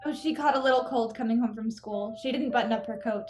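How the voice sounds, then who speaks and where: Lady doing worried patient mom in old radio play, high voice, bit of music under it. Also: fast, natural voice. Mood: concerned. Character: patient, worried housewife and mother. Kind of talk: old-time radio drama acting.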